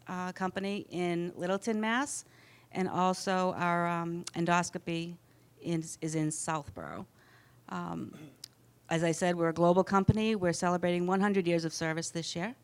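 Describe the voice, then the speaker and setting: A middle-aged woman speaks in a low, fairly even voice that stays smooth and clear. She introduces herself on a conference panel in a heartfelt way, with frequent fillers. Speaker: corporate panelist. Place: conference panel in front of an audience.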